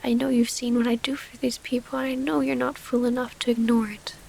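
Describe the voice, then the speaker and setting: Woman acting a soft-whispering, refined female vampire. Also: a little high, little pitch movement, fairly quick, a little hiss or hum, low-quality recording. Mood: hurt, confronting. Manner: soft, breathy half-whisper, formal old-fashioned diction. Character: gentle, formal female vampire noble, wounded but devoted lover. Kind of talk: intimate ASMR roleplay audio drama.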